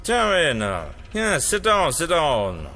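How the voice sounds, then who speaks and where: A man playing a bright-voiced, ruthless dictator. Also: fairly high pitch, wildly swooping pitch, takes it slowly, faint music behind, exaggerated voice. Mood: commanding. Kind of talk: audio drama acting.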